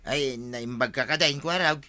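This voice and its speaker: A man's voice: mid-range voice, normal speed, sharp tone, natural voice. A man with an Ilocano accent playing a sheepish villager caught stealing after gambling, pleading and protesting in a comedy drama.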